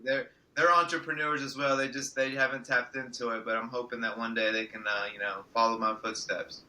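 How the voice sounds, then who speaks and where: A man born in Mexico and raised in Mississippi, speaking as a podcast guest. His voice has a very bright, forceful edge, and his pitch sits in a middle range. He comes across as earnest and reflective. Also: flat delivery, fairly quick, low-quality recording. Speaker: guest entrepreneur. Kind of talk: podcast interview.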